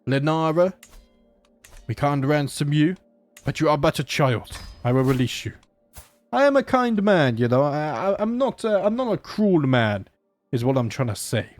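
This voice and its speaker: Guy with a sore throat doing let's play commentary, chatty, voice on the higher side, a bit of music under it. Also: very animated, normal speed, dry recording. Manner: chatty, joking, thinks aloud. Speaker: gaming channel host.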